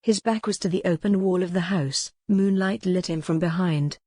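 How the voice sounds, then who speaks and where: A woman's voice: lowish voice, normal speed, warm tone, no echo, clean studio sound. A woman reading scripted, dramatic historical romance narration as an audiobook narrator.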